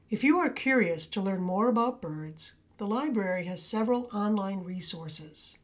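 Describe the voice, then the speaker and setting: Female nature-video narrator, soft-edged mellow tone, measured and gentle, mid-range pitch. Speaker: presenter of a backyard birding video for a library. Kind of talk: scripted voice-over narration.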